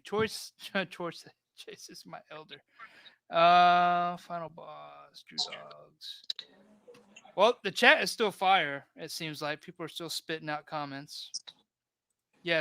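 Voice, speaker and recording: A man's voice: on the high side, sharp tone, smooth, no room sound. A man in his thirties hosting a casual livestream, rambling in a laid-back way and reading chat comments aloud. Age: 30s. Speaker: host of a diorama livestream.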